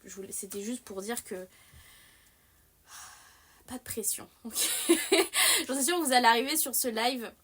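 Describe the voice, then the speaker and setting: Chatty, animated young woman streamer. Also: fairly high pitch, normal speed, pauses often, husky. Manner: rambling, many fillers and restarts; animated, self-mocking. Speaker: livestream host. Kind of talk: casual livestream chat.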